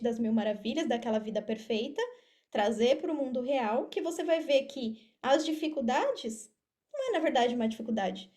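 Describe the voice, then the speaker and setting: Female Brazilian podcast guest, high but soft-edged tone, conversational, encouraging and emphatic. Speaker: podcast guest, affiliate marketer. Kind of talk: casual interview answers, motivational advice. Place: remote video call.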